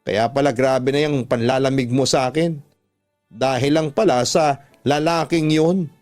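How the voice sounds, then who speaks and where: A man's voice: mid-range voice, fairly even intonation, measured pace, a little mellow, natural voice. A man voicing a jealous, hurt young boyfriend in an accusing, emotional radio drama style. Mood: furious, hurt. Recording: music under the voice.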